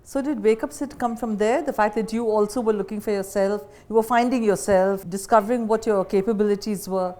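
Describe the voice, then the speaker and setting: A woman's voice: mid-range voice, fairly quick, few pauses, a little mellow. Middle-aged Indian woman interviewer. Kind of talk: conversational interview.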